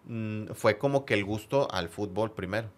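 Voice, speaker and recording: A man with a northern Mexican accent chatting casually and telling anecdotes as a podcast guest, in a halting way with words repeated for emphasis. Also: fairly low pitch. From Monterrey area, northern Mexico. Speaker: podcast guest, football fan.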